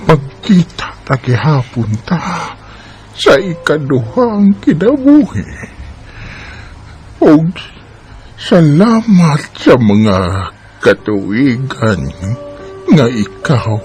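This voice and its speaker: An elderly Filipino husband played by a male actor speaks with a Bisaya accent in a radio drama. His delivery is extremely slow and halting, with sighs and wide swings of pitch as he speaks tenderly and sorrowfully to his wife. Music plays faintly behind him. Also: big pitch swings, darkish tone. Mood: grieving. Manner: halting, sighing, drawn-out delivery. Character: elderly devoted husband, tender and grieving at wife's deathbed.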